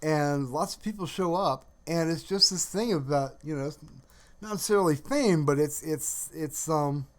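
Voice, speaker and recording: A man chatting casually on a livestream in a halting, rambling way, often searching for words. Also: a little high. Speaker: live streamer.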